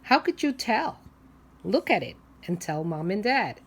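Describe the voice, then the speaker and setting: A woman's voice: mid-range voice, very animated, a little slow, breathy edge. A woman preschool teacher reading a picture book aloud to young children, explaining simply, asking questions and drawing out key words.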